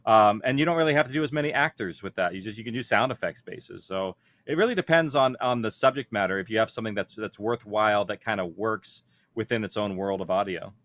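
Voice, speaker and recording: A man's voice: on the low side, fast, a bit bright, dry recording. A man speaking informally on a panel, explaining practical details of production in a conversational way. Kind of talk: conference panel talk.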